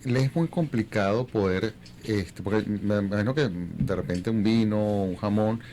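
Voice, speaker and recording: Guy hosting a radio interview, lowish voice, stumbles over his questions, bit of background noise. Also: moderate pace, mellow. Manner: tentative, repeats words while forming questions.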